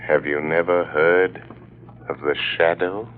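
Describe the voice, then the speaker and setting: Casting: invisible vigilante, extremely deep, menacing monotone, old-time radio thriller on a muffled recording. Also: male voice, slight background noise. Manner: slow, ominous delivery. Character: invisible male crime-fighting avenger, menacing and commanding. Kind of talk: 1940s radio acting.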